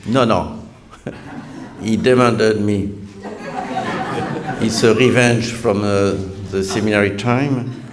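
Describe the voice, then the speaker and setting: A middle-aged man with a French accent, a priest giving a lecture-style sermon at a conference, measured and halting with frequent restarts and dry, self-deprecating humor. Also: medium pitch, background noise.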